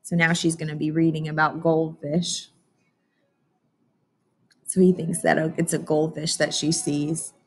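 A woman's voice: low-pitched, little pitch movement, unhurried, pauses often, husky. A young woman teacher doing a read-aloud lesson, explaining and asking questions as if to young children. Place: classroom, indoors.

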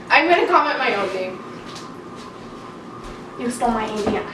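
A woman's voice: a little high, big pitch swings, a little slow. A teenage girl chatting and teasing on a home cooking vlog, recorded in a kitchen by a far camera. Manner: giggly, teasing. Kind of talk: casual chat. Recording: very echoey kitchen from far off, loud noise.

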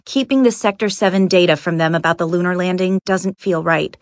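Actress as careful lady scientist logging a game journal, lowish voice, serious, dry sound. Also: fairly quick, no echo, natural voice. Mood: uneasy. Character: earnest, cautious female research scientist. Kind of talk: recorded journal entries in a video game.

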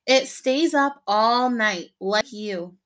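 A woman voicing a calm, sleepy grown-up mouse who gives flat, firm refusals. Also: a little high, takes it slowly. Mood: weary.

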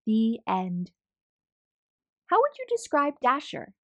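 A woman narrating a children's storybook as a read-aloud host, expressive, measured and clearly enunciated. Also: on the high side.

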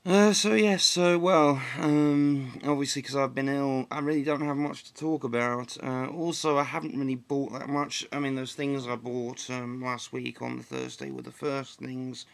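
Young male vlogger from England, a bit croaky and gravelly, hesitant and rambling, close webcam at home. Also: mid-range voice. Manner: rambling, hesitant, many ums and restarts. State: slightly croaky after flu. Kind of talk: casual solo vlog update. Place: dim living room indoors.

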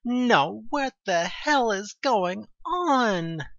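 A woman's voice: fairly high pitch, lively intonation, very slow, no room sound, pitched above the performer's own voice, full character voice. A woman playing an exasperated, sarcastic young woman in an audioplay, with incredulous, emphatic delivery. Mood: panicked disbelief. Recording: dull, lo-fi recording.

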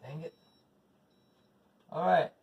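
A man's voice: takes it slowly, far from the mic, dull audio. A middle-aged man with a Spanish accent, a native Spanish speaker, talking through a home cooking video with rambling, bitter, profane asides. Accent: Spanish-speaking, English as second language. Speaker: home cook vlogger. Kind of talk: casual cooking video with ranting. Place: home kitchen, indoors. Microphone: camera across the counter. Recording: voice from off-mic with faint noise.

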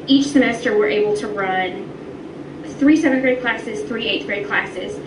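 A woman's voice: medium pitch, measured pace. A woman teacher explaining things in interview answers. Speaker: middle school teacher. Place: classroom, replayed on a projection screen. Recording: distant echoey re-recorded playback, noisy room.

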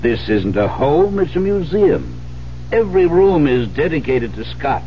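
An actor playing a stern, rational friend, firm and admonishing, in a 1970s radio drama. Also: male voice, a little high, big pitch swings, unhurried. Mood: urging, firm. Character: stern, rational male friend confronting a widow. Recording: muffled, noisy vintage radio broadcast.

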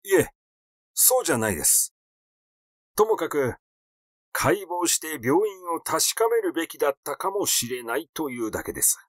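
A man's voice: fairly high pitch, sing-song, moderate pace, dry recording, studio quality, pitched above the performer's own voice. A man voicing a cautious, defensive male doctor in an audiobook, formal and dismissive in manner. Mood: evasive, defensive.

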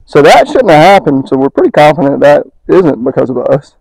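A man's voice: a little high, sing-song, brisk, creaky, darkish tone, low-quality recording. A man chatting casually and joking wryly while helping with a tent install. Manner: joking, wry. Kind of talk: casual chat.

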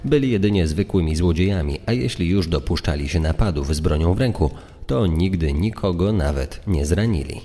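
A man's voice: sits low, expressive, normal speed, warm tone. A man reading scripted documentary narration as a commentator. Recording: long hall reverb, music underneath.

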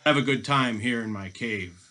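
A bright, forceful storybook robber, acted out by a man reading aloud. He jeers and gloats, putting on mock sweetness as he bullies his captive. Every phrase is pushed out with boastful emphasis. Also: medium pitch, moderate pace, close to an everyday voice. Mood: gloating. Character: jeering boastful male robber villain, gloating and bullying. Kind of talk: children's storybook voice acting.

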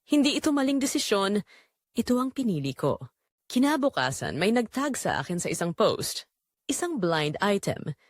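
A woman narrating a scripted romance audio drama in the first person, in an earnest, sentimental, measured way. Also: mid-range voice, very animated, no room sound.

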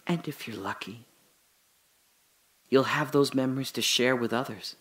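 A man's voice: mid-range voice, close to an everyday voice. A man playing a dying father's last words. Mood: wistful. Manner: hushed, halting, awed. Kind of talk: audio drama monologue.

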